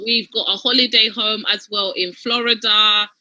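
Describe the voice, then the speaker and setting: Woman around 30 from uganda, really bright high voice, gushy casual testimony, pretty dry sound. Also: steady tone, normal speed, no echo. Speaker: guest giving a success testimony.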